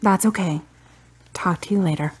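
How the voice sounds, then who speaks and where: A husky, low-voiced, wry grieving daughter. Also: female voice, normal speed. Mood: dry, guarded. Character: Charity, grieving daughter, wry, stubborn and guarded. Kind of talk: radio drama acting.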